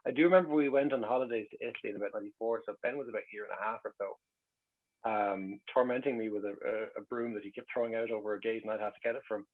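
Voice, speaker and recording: A man's voice: fairly low pitch, steady tone, very fast, narrow, tinny audio. A middle-aged man with an Irish accent telling anecdotes in a casual, conversational way. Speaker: guest.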